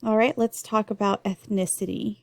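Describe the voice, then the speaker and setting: A woman's voice: medium pitch, fairly even intonation, normal speed, gravelly, no echo. A young adult woman, born in El Salvador and raised in the US, teaching a recorded nursing lecture in an explanatory way with frequent ums and restarts. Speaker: nursing instructor.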